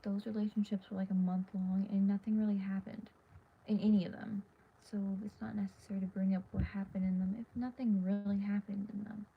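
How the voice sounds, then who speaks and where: Hushed, tired teen girl with a gravelly voice. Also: mid-range voice, flat delivery, fairly quick. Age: teens. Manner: hushed, half-whispered and rambling with many fillers. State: tired and losing her voice. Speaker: livestreamer. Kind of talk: casual late-night livestream chat. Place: dark bedroom at night. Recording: dull lo-fi phone audio with faint hiss.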